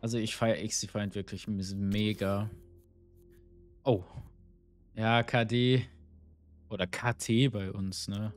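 A young man giving casual, rambling commentary while playing a shooter, with a slightly hoarse voice from a cold, swearing often and filling gaps with ums. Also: fairly low pitch, fairly even intonation, takes it slowly, long pauses. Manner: chatty, swears often, rambling with many fillers. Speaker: gaming YouTuber. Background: game music and gunfire.

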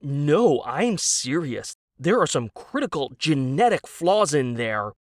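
Man acting out a high-pitched exasperated young wife. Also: very animated, takes it slowly, dry recording, studio quality. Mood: scornful. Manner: biting, emphatic, incredulous. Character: exasperated, furious young wife, sharp and scornful. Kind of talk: audio drama storytelling.

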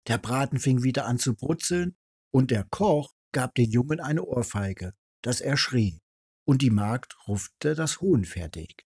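A man's voice: mid-range voice, a little mellow, dry recording, clean studio sound. A man reading a fairy tale aloud as a storyteller.

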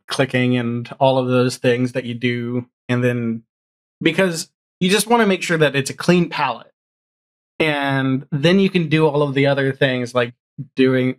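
Informal middle-aged man chatting, jokey and halting. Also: mid-range voice. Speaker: podcast guest, audio drama showrunner. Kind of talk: casual interview chat about production. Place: small home room, on a video call.

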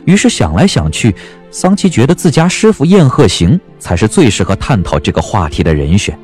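Man, audiobook narration, lowish pitch, animated intonation, measured dramatic storytelling.

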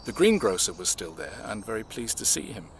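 A man acting in an audio drama, playing a reserved, measured man who recounts his family's past in a reflective way. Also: lowish voice, big pitch swings, a little hiss or hum.

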